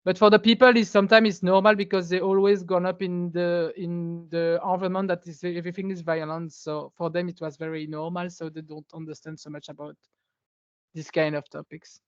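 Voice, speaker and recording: A woman's voice: on the low side, flat delivery, fairly quick, no room sound. A woman who is a local politician, searching for words as she gives her testimony in a webinar. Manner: searching for words, non-native English. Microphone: video call. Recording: dry, dull video call audio.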